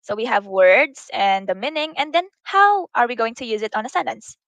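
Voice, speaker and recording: A woman's voice: on the high side, big pitch swings, moderate pace, a bit bright, no room sound, low-quality recording. A woman working as an online English tutor, explaining lesson materials and peppering her talk with okays. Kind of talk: sponsor product demo.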